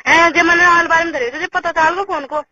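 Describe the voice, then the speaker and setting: Rajasthani woman, casual phone caller. Very sharp, forceful tone; lo-fi line with light noise. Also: very high voice, steady tone, quick pace. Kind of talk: casual private phone chat. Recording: dull lo-fi phone call, background noise.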